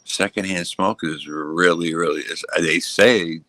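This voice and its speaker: A man's voice: low voice, fairly even intonation, a little slow, bright, forceful tone. A man chatting informally as a panelist, repeating himself for emphasis. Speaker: live chat panelist. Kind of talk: casual chat.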